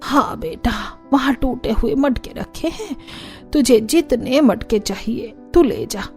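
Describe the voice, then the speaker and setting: A woman's voice: high-pitched, lively intonation, slow pace, darkish tone, soft background music, heavily acted. A woman voicing a kindly, generous older neighbour auntie in a cartoon story. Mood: generous, affectionate. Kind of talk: Hindi cartoon story dialogue.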